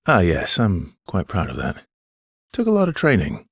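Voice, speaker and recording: An actor voicing a weary, gentle male adventurer in a video game, with a husky, breathy voice and measured, understated phrasing. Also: low voice, huge pitch swings, no echo, studio quality, full character voice. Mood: dry, wry. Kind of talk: video game dialogue lines.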